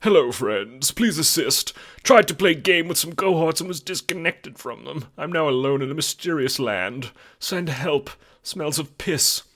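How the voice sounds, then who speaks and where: Man doing an oddball clipped survivor, lively pitch. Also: a little slow, few pauses, heavily acted. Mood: earnest pleading. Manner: clipped, formal, oddly earnest phrasing. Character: odd, stoic forum survivor speaking in clipped telegrams. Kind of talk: audio drama acting.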